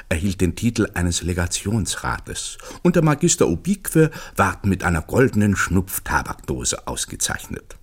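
An actor as the narrator of a satirical radio play, reading literary prose as a storyteller. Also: male voice, low-pitched, husky, full character voice. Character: wry male literary narrator of a satirical tale.

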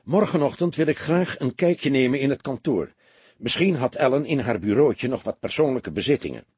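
A man voicing a calm, wry, commanding older heavyset police detective in an audiobook, speaking tersely and with dry, polite firmness. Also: medium pitch, moderate pace, breathy edge, dry recording, voice pushed up. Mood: polite, firm. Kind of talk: audiobook character dialogue.

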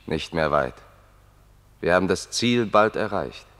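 A very deep-voiced, deferential guide. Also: male voice, animated, unhurried, fairly bright tone, heavily acted. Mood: calm, observant. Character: attentive male guide, quietly describing the world to His Excellency. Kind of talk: German radio play acting.

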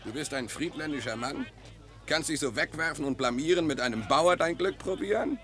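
An actor playing a stern soldier who commands and scorns a comrade. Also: male voice, fairly high pitch, brisk, a bit bright, lightly acted. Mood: scornful.

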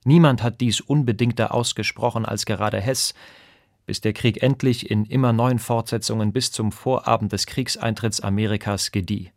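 A man's voice: fairly low pitch, steady tone, moderate pace, dry recording, clean studio sound. A man giving a formal, declarative scripted reading of a news commentary. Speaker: reader of historical radio texts.

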